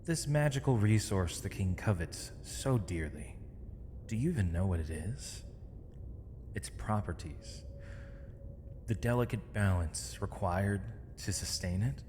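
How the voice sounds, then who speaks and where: A man playing a captured mage in an audio roleplay, defiant and weary, speaking in measured, sardonic, formal phrases. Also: on the low side, expressive, a little mellow. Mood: bitter, challenging. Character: captured male mage, defiant, weary, eloquent, accused villain. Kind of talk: scripted ASMR audio roleplay. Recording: long reverb, clear background noise.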